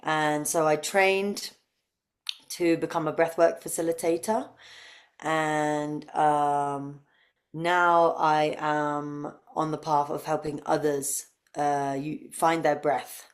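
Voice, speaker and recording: Englishwoman, 30s, low-pitched voice, conversational interview guest. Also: level tone, slow pace. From UK, grew up near London. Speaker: interview guest, breathwork facilitator.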